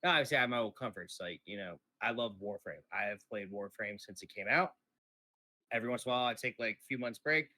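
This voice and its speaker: American male podcast guest, low but forceful voice, blunt and emphatic, casual chat, close dry sound. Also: fast talker, dry recording. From New York City, United States.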